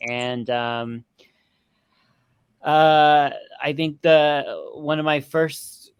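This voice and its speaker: A man with a clear, smooth, mid-pitched voice talks casually about film as a panelist. The sound is dry and close. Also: a bit bright, dry recording.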